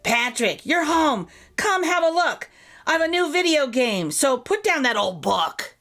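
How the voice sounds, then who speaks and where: A woman's voice: sits high, very animated, measured pace, a bit bright, pitched above the performer's own voice, heavily acted. A woman voicing an eager, boastful young boy in a children's storybook, bossy and enthusiastic.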